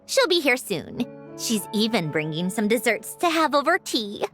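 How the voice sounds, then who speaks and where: A woman's voice: fairly high pitch, very animated, normal speed. A woman voicing a modest young ship's captain who answers hesitantly. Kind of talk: video game voice acting. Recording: soft game music behind.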